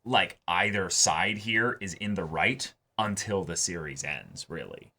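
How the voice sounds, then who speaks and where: A man's voice: low-pitched, lively intonation, measured pace, bright, forceful tone, breathy edge. A man hosting an anime reaction show, reading viewer comments aloud and discussing them casually with emphatic delivery.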